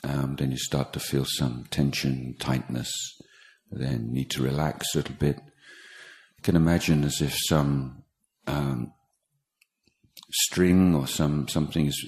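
A man teaching guided meditation, speaking in a halting, measured way with pauses between short phrases. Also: rumbling low, steady tone, a little mellow. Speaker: meditation teacher, Buddhist monk. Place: meditation retreat talk in Thailand.

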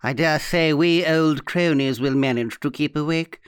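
A man voicing a mocking, unctuous old woman in an audiobook story, drawing out her words slowly. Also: fairly high pitch, smooth, no room sound, studio quality, lightly acted. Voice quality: unctuous, slow and drawn-out.

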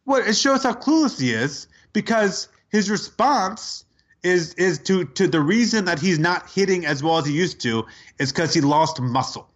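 Male co-host, casual sports chat, animated and laughing, a touch high. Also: moderate pace, lo-fi sound. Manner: animated, incredulous, laughing storyteller. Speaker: podcast co-host.

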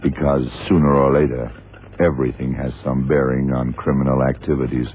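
Calm investigator, radio thriller, rock-bottom pitch, deliberate and probing, thin noisy vintage sound. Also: male voice, slightly rough. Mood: cool, probing. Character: calm, shrewd blind male FBI investigator. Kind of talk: 1970s radio drama acting. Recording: tinny, noisy old radio recording.

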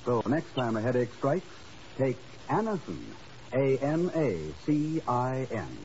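A man's voice: medium pitch, sing-song, slow pace, darkish tone. A man reading scripted 1950s radio announcements and ad copy in a formal, emphatic, polished way. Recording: hissy, muffled vintage radio recording.

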